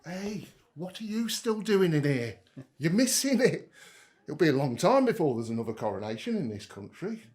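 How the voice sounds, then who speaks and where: A man's voice: on the high side, big pitch swings, normal speed, a little mellow. An actor playing a proud, chatty middle-aged working-class father in a naturalistic audio drama, homely and enthusiastic. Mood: urging, enthusiastic.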